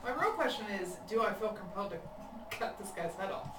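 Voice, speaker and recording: A woman player asking playful questions in casual chat. Her pitch moves around a lot. She sits off-mic, in an echoey room with clear background hum. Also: lively intonation, brisk, distant mic, music in the background. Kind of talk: casual tabletop game talk.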